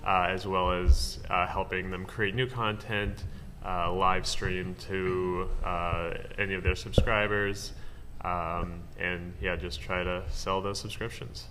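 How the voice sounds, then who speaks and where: A man introducing his company on a tech panel, quick and hesitant with many fillers. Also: sits low, little pitch movement, sharp tone, a little hiss or hum, rough recording. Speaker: panelist, audience development manager. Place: conference hall.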